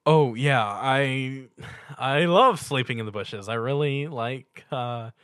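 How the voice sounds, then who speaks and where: A man's voice: mid-range voice, slow pace, a bit bright, smooth, no room sound, clean studio sound, voice pushed up. A man voicing an awkward, geeky young male cartoon pony with a hesitant, stammering manner in a story reading. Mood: sheepish. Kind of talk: dramatic fan fiction reading.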